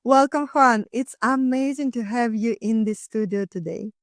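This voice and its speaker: A woman's voice: a little high, moderate pace, no echo, studio quality. A woman hosting a podcast, reading a polished, upbeat introduction and asking interview questions. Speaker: podcast host. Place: studio.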